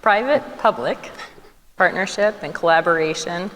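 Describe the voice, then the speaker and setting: A woman's voice: on the low side, lively intonation, moderate pace, a bit bright, slight background noise. A woman moderating a conference panel, asking questions with some light stumbles. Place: conference hall. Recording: reverberant hall with faint ambience.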